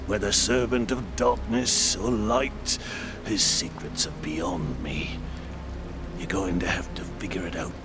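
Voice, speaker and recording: Deep, weary old sea captain. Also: male voice, steady tone. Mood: grave, uneasy. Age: older. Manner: salty seafarer speech, halting and breathy. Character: weary, dying old male corsair captain. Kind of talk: dramatic video game cutscene acting. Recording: loud music and rain behind.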